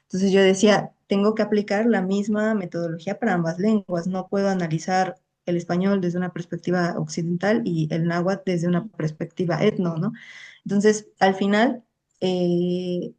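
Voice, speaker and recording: Young woman, low-pitched, careful academic speaker on a video call. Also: a little mellow. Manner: careful, restarts often, tags statements with a questioning "no". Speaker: invited lecturer. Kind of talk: academic talk and Q&A answer. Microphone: online video call.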